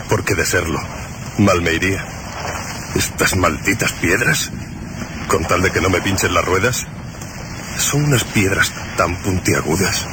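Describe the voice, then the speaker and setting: Cocky traveller in a radio play: low voice, slow sardonic muttering to himself, clear background noise. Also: male voice. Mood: grumbling. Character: cocky lone male driver, talking to himself, mocking danger. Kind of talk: radio drama acting.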